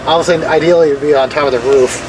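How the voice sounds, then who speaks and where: A man's voice: on the high side, steady tone, very fast. A man giving a casual hands-on explainer, informal and thinking aloud as he tinkers. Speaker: hobbyist video maker demonstrating car radio gear. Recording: clear background vehicle and ambient noise. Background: vehicle and ambient noise.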